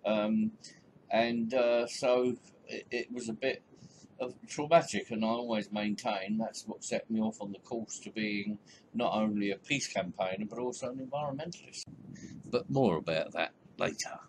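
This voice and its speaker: A man's voice: lowish voice, normal speed. Chatty elderly man, noisy recording. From England. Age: about 80. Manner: chatty and rambling, with many ums and soft chuckles. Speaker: narrator of his own life story. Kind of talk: reminiscing voice-over narration.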